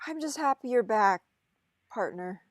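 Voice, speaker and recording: Female reader as shy, sincere son; fairly high pitch, casual hesitant delivery, very dry recording. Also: expressive, unhurried, dry recording. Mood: earnest. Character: earnest, awkward young man, detective's son. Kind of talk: online scripted table reading.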